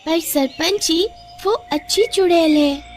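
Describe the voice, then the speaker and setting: A woman voicing an excitable, timid little village girl in a cartoon story, stammering and pleading. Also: extremely high pitch, takes it slowly. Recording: music behind the voice.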